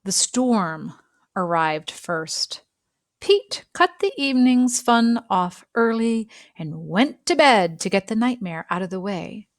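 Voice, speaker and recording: A woman reads a children's story aloud in an expressive narrating style, phrasing deliberately and leaving dramatic pauses. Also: medium pitch, dry recording, studio quality. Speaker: storybook reader.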